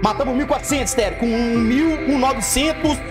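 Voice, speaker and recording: A young Brazilian man doing excited live game commentary, repeating himself and swearing in slang. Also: extremely high pitch, fairly quick, music in the background. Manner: excitable, repetitive, heavily profane slang. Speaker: gaming streamer. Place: indoor room, webcam streaming setup.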